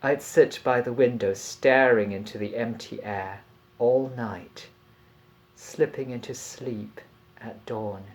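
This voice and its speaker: A man reading a passage from a novel aloud in a measured, deliberate and expressive storytelling manner. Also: mid-range voice.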